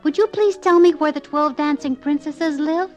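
A woman's voice: high-pitched, normal speed, soft background music, pitched above the performer's own voice, natural voice. A woman voicing a sweet, polite six-year-old girl who speaks eagerly and kindly.

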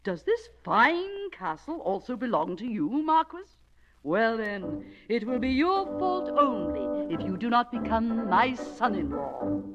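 A woman's voice: a little high, big pitch swings, measured pace, muffled recording, faint music behind. A woman voicing a genial, regal king in a storybook tale. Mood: pleasantly impressed. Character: genial, impressed male king. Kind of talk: storybook character voice.

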